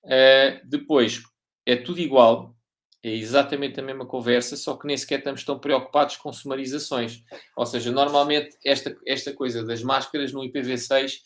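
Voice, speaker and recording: Halting Portuguese male lecturer. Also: mid-range voice, steady tone. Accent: European Portuguese. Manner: halting, repeats and rephrases, checks understanding often. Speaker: university lecturer. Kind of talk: informal technical lecture.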